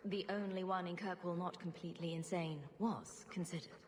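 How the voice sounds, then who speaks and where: Woman acting a low-voiced sarcastic heroine. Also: steady tone, normal speed, slight background noise, natural voice. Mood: dry humor. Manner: dry, flippant. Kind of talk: video game voice acting.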